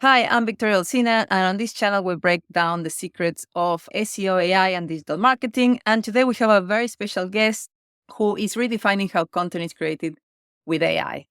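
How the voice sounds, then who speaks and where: A woman's voice: medium pitch, a little fast, a bit bright, clean tone, no echo, studio quality. A woman from Argentina with an Argentine Spanish accent, a native Spanish speaker, hosting and interviewing on a podcast. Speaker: podcast host.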